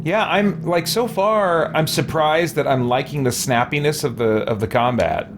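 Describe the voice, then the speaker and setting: A man's voice: a little high, moderate pace, noisy background. A man giving casual let's play commentary, thinking aloud and musing to himself as he goes. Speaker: gaming video host.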